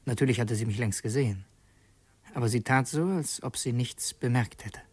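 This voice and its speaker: A man's voice: mid-range voice, normal speed, breathy edge, lightly acted. An actor playing an earnest revolutionary who narrates his secret mission in a literary radio drama, introspective and brooding. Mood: reflective narration.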